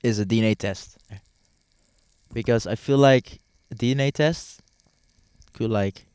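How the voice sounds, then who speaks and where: A young man from the Netherlands chatting casually as a podcast guest outdoors at a barbecue, relaxed and hesitant, with lots of ums and restarts. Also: medium pitch, unhurried, clear, resonant tone, dry recording, clean studio sound. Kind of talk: casual chat.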